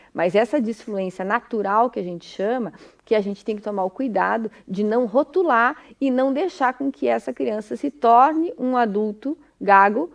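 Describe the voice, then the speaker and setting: A woman with a Brazilian Portuguese accent speaks at a middling pitch. She explains in an informal, didactic way, like a speech therapist guiding parents. Her phrasing is conversational and often punctuated by short tag questions to check that listeners follow.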